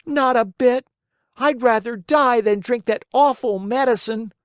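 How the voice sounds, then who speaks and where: A storyteller voicing a whiny, stubborn wooden marionette boy in a high, strained, whining voice. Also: a little slow, smooth, no room sound, studio quality, natural voice. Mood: tantrum. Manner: bargaining, then wailing and pleading.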